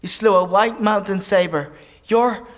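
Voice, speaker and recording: An actor playing a warrior's anxious, loyal companion in a dark fantasy audio drama, speaking with halting, urgent earnestness. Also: male voice, high-pitched, slight background noise. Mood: pleading, reassuring.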